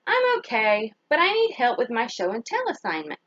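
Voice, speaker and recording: An eager, cheerful little schoolgirl from a storybook, as a woman reader plays her, high and lively, earnest with her family. The sound is slightly dull. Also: normal speed, no room sound, full character voice.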